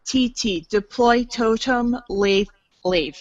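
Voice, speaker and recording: A woman's voice: on the high side, takes it slowly, no room sound, dull audio. A woman reading scripted storybook-style narration aloud as a theatrical narrator.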